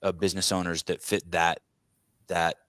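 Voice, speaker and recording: A man hosts a podcast conversation in a deep, low voice, close and dry on the mic. He grew up speaking Armenian and talks in a blunt, animated way, stumbling over restarts as he builds his point. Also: normal speed, dry recording. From United States. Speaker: podcast host. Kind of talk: conversational interview.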